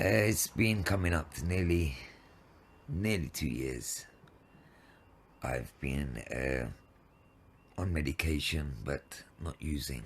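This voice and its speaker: Slow, low-voiced man in his fifties. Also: a little hiss or hum. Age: 50s. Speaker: interviewee telling own story of addiction and homelessness. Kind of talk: personal testimony.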